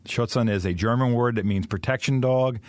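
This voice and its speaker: A man's voice: mid-range voice, fairly quick, a little mellow, dry recording. A man, a professional dog trainer, explaining dog competitions in detail as a radio interview guest. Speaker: dog trainer, kennel owner.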